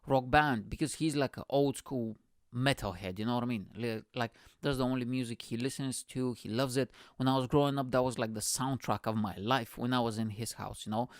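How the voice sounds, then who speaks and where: A young man from Bulgaria, a podcast host telling stories in a rambling, profane, animated way. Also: medium pitch, fairly quick, no room sound, clean studio sound. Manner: profane, rambling, animated, constant "you know what I'm saying". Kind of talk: casual storytelling monologue.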